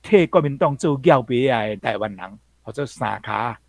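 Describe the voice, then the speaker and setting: A man's voice: medium pitch, expressive, normal speed, creaky. A man with a Taiwanese accent giving explanatory commentary on a piece of literature.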